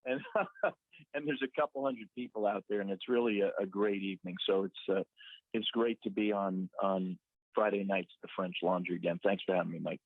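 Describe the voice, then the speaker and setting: A man's voice: lowish voice, fairly quick, no room sound. A man as a guest on a talk radio show, explaining things at length with a joking touch, calling from a side room. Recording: dry tinny phone-line audio.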